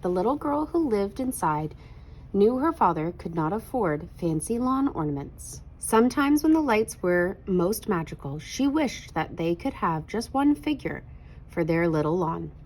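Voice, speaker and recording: A woman narrating a children's picture book aloud in an expressive read-aloud style. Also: mid-range voice, a little slow, slight background noise, low-quality recording.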